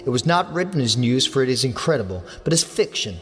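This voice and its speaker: A man's voice: mid-range voice, very animated, quick pace, a bit bright, slightly rough, in a large hall, faint music behind. An English man playing a young male writer giving touchy, clipped answers at an inquest. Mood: defensive. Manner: hot, clipped replies.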